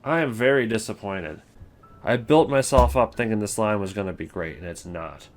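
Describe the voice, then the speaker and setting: Low-voiced male commentator, casual and rambling, working out opinions aloud. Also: normal speed. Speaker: video creator ranking game voice lines.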